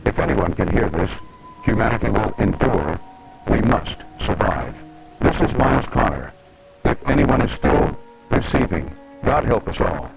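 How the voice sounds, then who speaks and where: Man acting gravelly last-ditch broadcaster under loud music. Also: medium pitch, very animated, a little slow, exaggerated voice, poor audio. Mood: grave, desperate. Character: desperate male broadcaster making humanity's final transmission. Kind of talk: audio drama emergency broadcast. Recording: loud music and thunderstorm over voice. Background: loud music, rain, thunder.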